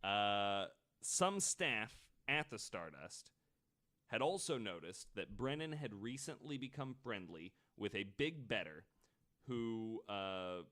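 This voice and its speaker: Low-voiced man telling a story casually. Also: very animated, takes it slowly, bright, forceful tone. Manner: swears casually, conversational. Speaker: podcast host. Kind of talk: casual storytelling of true crime.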